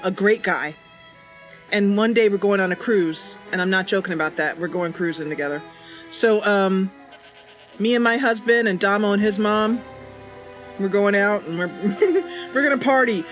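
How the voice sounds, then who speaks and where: A bubbly, chatty woman livestreamer talking casually and improvising roleplay as she plays a game. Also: mid-range voice. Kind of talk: casual live stream chat with improvised roleplay.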